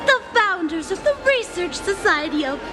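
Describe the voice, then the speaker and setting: A woman's voice: very high-pitched, expressive, moderate pace, music in the background. A woman playing a cheerful, zealous recruiter for a happiness society in an anime game, speaking like a polished, theatrical preacher. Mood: cheerful proclaiming.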